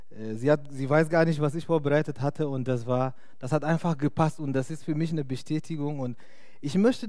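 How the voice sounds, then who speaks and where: A man's voice: fairly high pitch, mellow, no room sound, clean studio sound. A young man preaching informally in German, a non-native speaker who talks hesitantly with many fillers. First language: not German. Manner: chatty and hesitant, many fillers, laughs often. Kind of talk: informal sermon.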